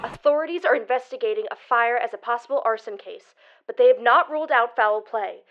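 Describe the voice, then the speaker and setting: An actress playing a serious news reporter giving a formal report in an audio drama. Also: female voice, fairly high pitch, brisk, lightly acted. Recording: dull, band-limited news broadcast.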